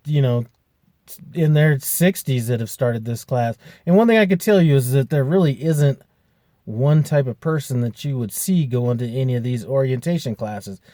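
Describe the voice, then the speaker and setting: A man's voice: medium pitch, dark tone, no echo, studio quality. A man in his fifties, a truck driver, chatting casually to camera in a rambling way with lots of fillers and restarts. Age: 50s. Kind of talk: casual vlog chat.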